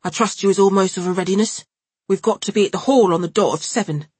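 A woman voicing a pompous young male preacher with a nasal Cockney accent who enunciates slowly and painfully. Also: medium pitch, a little mellow, husky, dry recording, voice pushed up, close to an everyday voice. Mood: sanctimonious. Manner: slow, painfully enunciated, sanctimonious.

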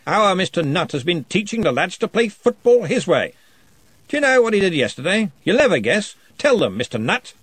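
A man voicing a big, jovial old wizard chancellor who talks loudly and heartily, with a faintly threatening cheer. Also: high-pitched, very animated, moderate pace, breathy edge, no echo, voice pushed up, full character voice.

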